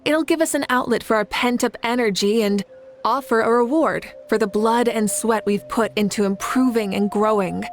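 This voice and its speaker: An actress playing a confident, composed heroic leader with a playful side in a video game, speaking in an assured, wry manner. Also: female voice, a little high, moderate pace, close to an everyday voice. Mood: earnest, assured. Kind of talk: video game character dialogue. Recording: music behind the voice.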